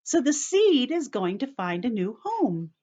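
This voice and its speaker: Lady teacher doing story time for little kids, slow and really singsongy, kinda muffled lo-fi recording. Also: fairly high pitch. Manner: animated, teacherly, asking the listeners questions. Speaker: children's teacher reading a storybook aloud. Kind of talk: read-aloud story time for young children, with simple explanations.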